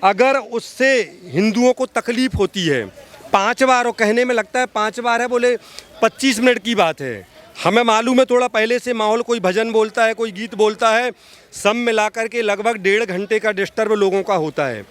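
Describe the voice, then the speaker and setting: A man's voice: sits high, moderate pace, fairly bright tone. A man giving impassioned political opinion in an oratorical, emphatic way, repeating phrases for stress. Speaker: social activist giving street interview.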